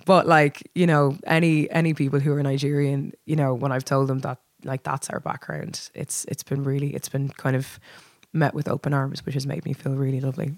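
A woman's voice: very deep voice, little pitch movement, brisk, hardly any pauses, dry recording, studio quality. A woman with a north Dublin accent chatting candidly and reflectively in an interview, often repeating words as she finds her phrasing. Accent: north Dublin Irish. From Dublin, Ireland. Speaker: band singer being interviewed. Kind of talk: casual reflective interview chat.

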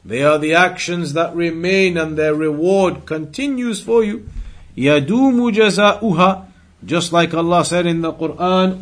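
A man's voice: a little high, fairly bright tone. A man giving an Islamic lesson as a religious teacher, explaining emphatically and repeating and rephrasing his points. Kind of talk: Islamic lesson with recited Arabic quotations and English explanation.

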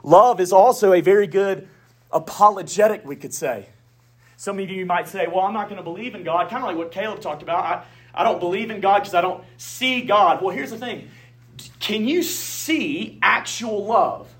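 A man preaching a sermon in a Southern US accent, with emphatic declarations, quiet asides and folksy humor. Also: sits high, slightly rough. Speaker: pastor.

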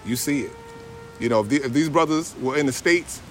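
A man telling a story in a lively, slangy, casual way. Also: mid-range voice, a little fast, a little hiss or hum. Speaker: traveler in conversation.

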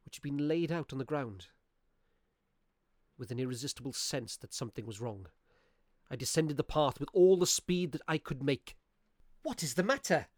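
An actor playing a practical-minded Victorian gentleman narrating a ghost story in a measured, literary way for a radio play. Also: mid-range voice, no echo, studio quality, natural voice. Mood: alarmed.

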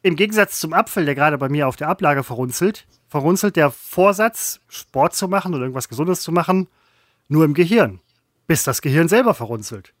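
Dry deadpan guy musing, kinda high voice, dead dry recording. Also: brisk, no echo. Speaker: podcast co-host. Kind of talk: comedic chat.

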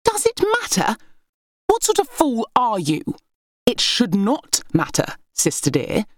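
A woman narrator acts out an imperious young royal heir from a historical storybook. She is proud, scornful and quick to fury, her pitch swooping upward over a dry recording. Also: huge pitch swings, no echo, full character voice. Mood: haughty fury.

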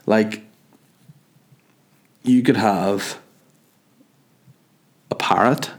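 A man's voice: fairly low pitch, drawn-out words. A man giving rambling, crude, joking commentary as a host. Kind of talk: casual commentary.

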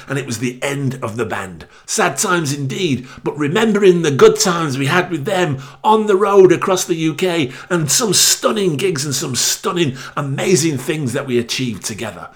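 A man's voice: a little high, lively intonation, moderate pace, few pauses. A man hosting a radio music show, introducing a track in a spoken link.

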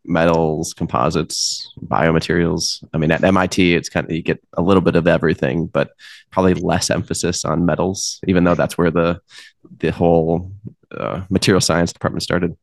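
Deep gravelly podcast host. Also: male voice, flat delivery, moderate pace, no room sound. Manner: rambling, stacked questions. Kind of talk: podcast interview.

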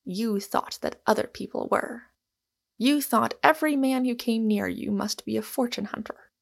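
Woman playing a sardonic, gloomy Irishman, creaky-voiced. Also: a little high, voice pushed up, exaggerated voice. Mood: bitterly calm. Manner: deliberate, deadly calm irony. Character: gloomy, sardonic Irishman, quiet, bitter and ironical. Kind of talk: acted audiobook dialogue.

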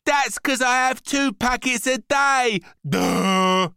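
Storybook brat: young greedy boy, high-pitched, forceful, whiny, slangy, defiant. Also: takes it slowly, vocal fry, clear, resonant tone, no echo, studio quality, full character voice. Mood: cheeky, indignant. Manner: slangy, whiny, explosive outbursts.

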